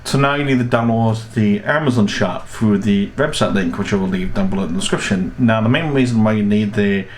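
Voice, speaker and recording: Young male tutorial host, low voice, rambling casual explanations, recorded at home with some background noise. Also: a little fast, few pauses. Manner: rambling, repeats and restarts phrases. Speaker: host of a tech tutorial channel. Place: small room at home, indoors.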